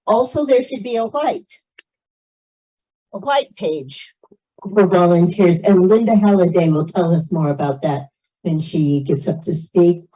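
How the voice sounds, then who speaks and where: Woman with a soft, mellow tone. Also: on the low side, animated, a little slow, slightly rough, low-quality recording. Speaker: meeting organizer giving instructions. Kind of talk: informal announcements at a community meeting.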